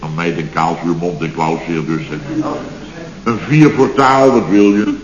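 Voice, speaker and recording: An actor playing an exasperated, wisecracking boss of a building firm in a radio comedy, talking sardonically and repeating words in emphatic bursts. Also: male voice, deep voice, unhurried. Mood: sardonic storytelling. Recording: roomy, dull, hissy old radio recording.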